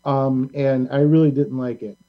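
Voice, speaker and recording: A man hosting a casual pop culture discussion, talking in a halting, rambling, opinionated way. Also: medium pitch, flat delivery. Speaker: talk show host. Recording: band-limited, dull lo-fi sound.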